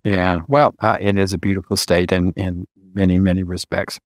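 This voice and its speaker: A man's voice: sits low, little pitch movement, normal speed, no room sound, studio quality. A man from the United States hosting a podcast interview, upbeat and effusive. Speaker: podcast host.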